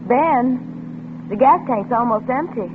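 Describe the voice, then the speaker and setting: An anxious, gently scolding suburban wife in an old radio play. Her high voice rises and falls freely, wry and fretful. The sound is muffled, with faint noise. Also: expressive, slow pace. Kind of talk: 1950s radio drama acting.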